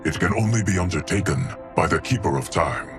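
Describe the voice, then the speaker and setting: A man's voice: low-pitched, expressive, fairly quick, over music. A man playing a solemn, loyal steward of time in a video game, with a formal, grave manner. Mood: solemn, measured.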